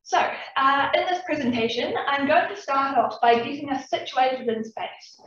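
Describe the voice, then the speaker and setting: A woman's voice: high voice, moderate pace, a bit bright. A young woman with a New Zealand accent, giving a friendly public science talk to a live audience. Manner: friendly, chatty, addresses audience directly. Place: large echoey lecture room. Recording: echoey, distant, dull room recording.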